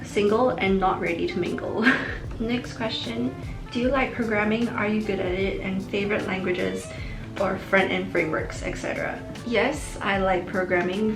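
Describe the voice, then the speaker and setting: A woman's voice: medium pitch, reverberant. A young woman from Singapore chatting casually in a vlog, answering viewer questions in a reflective way and laughing between answers. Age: young adult. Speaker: vlogger.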